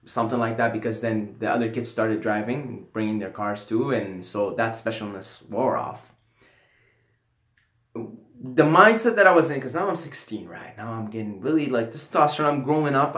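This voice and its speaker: A man's voice: medium pitch, moderate pace, fairly bright tone, reverberant. A young man from Syria telling a casual personal story, rambling and often adding "right?" and "you know". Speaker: vlogger.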